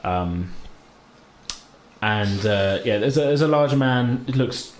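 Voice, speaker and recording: A man improvising narration as a tabletop game master in an informal, halting way. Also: on the low side, lively intonation. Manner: halting, informal, hedging descriptions. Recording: roomy, light background noise.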